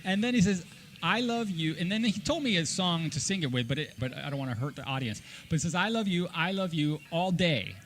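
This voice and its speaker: A middle-aged man co-hosting a casual podcast, telling stories in an animated way and given to laughing. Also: on the high side, fairly quick, a little hiss or hum. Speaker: podcast co-host. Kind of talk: casual podcast chat and storytelling.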